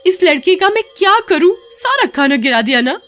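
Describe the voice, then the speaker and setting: Woman narrator as shrill young mother, guilty and harsh. High, swooping, overdramatic horror-cartoon acting. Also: very animated, moderate pace, fairly bright tone, exaggerated voice. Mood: annoyed. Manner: wailing, stammering, exaggerated. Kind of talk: animated horror story acting.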